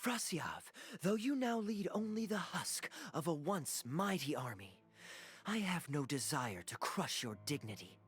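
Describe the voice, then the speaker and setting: A man voicing a suave, teasing young swordsman and noble prince in a video game, with smooth, theatrical delivery. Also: a little high, big pitch swings, a little slow, fairly bright tone. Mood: solemn, noble. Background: background music.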